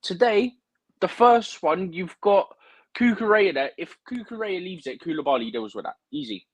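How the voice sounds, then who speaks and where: Guy ranting about his team's defending on a stream, kinda husky, dry sound, bit higher voice. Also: normal speed, no room sound, poor audio. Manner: animated, opinionated, rambling with "like" and "right?". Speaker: guest pundit on a fan livestream. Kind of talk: casual football chat. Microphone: remote livestream mic, drifting in and out.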